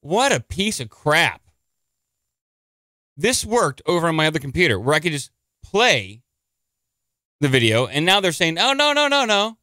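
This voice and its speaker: A man's voice: a little high, very animated, sharp tone, no echo, clean studio sound. A man hosting a live stream in a chatty, rambling way, a little congested and nasal. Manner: chatty, rambling, quick to gripe. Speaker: podcast host. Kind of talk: casual live-stream talk.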